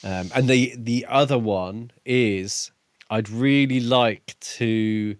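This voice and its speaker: A man co-hosting a podcast, chatting casually about books in a tentative, softly qualified way. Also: medium pitch, takes it slowly, no room sound, clean studio sound. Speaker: podcast co-host. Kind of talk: casual book-club podcast chat.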